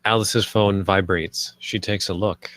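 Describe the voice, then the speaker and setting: Low-pitched male script narrator, dry sound. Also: steady tone, measured pace, fairly bright tone, no echo. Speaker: narrator reading stage directions. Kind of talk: scripted narration, present tense.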